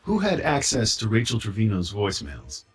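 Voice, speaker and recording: A game cop, dry and by the book, animated pitch, bit husky. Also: male voice, moderate pace, full character voice. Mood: businesslike. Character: male police detective, dutiful, wry family man. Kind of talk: video game dialogue acting.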